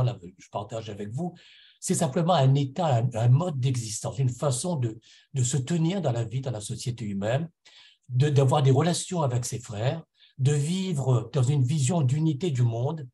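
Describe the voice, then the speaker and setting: A man's voice: mid-range voice, dark tone. A middle-aged man from Réunion island giving a personal spiritual testimony at a conference, earnest and reflective, often searching for words and repeating himself as he goes. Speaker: conference panel speaker.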